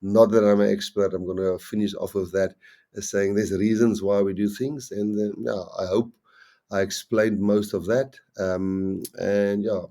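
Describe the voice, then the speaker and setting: Man, Afrikaans accent, middle-aged, low-pitched, warm dark tone, halting casual chat, rambling restarts. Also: flat delivery. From South Africa. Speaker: rugby coach. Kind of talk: casual live-stream chat.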